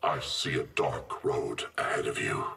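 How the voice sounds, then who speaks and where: A man voicing a grave, ominous sorcerer who slowly foretells doom in a video game, with a gravelly voice. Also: extremely low pitch, full character voice. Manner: slow, grave, foreboding. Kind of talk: video game fight intro dialogue. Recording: echoey, noisy game audio.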